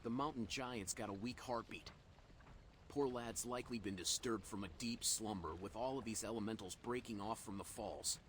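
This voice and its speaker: A man's voice: lowish voice, moderate pace, a bit bright, a little hiss or hum, close to an everyday voice. A man voicing a folksy, wry elemental healer who gives out quests in a fantasy game, chatty and teasing. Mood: concerned, upbeat.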